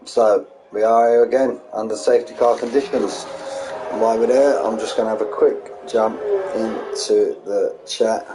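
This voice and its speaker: A young man with a hoarse, fading voice, calling an online sim race live with quick, excitable play-by-play and chatty asides. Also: fairly low pitch. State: losing his voice, hoarse. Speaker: sim racing league commentator. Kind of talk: live race commentary streamed. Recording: noisy streamed audio.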